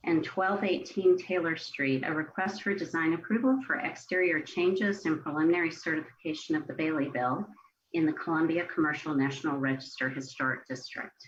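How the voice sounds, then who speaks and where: A woman's voice: low-pitched, fairly quick. A woman reading agenda items aloud in a formal manner as a meeting staffer. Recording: dull, slightly noisy meeting audio.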